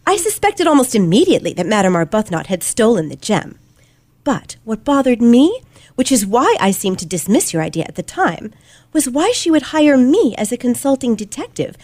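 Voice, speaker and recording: A woman playing a confident, sharp teenage girl detective in an old-fashioned radio play, with precise, theatrical delivery. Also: a little high, sing-song, a little fast, no echo. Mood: assured, dramatic. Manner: theatrical, precise, dramatic emphasis.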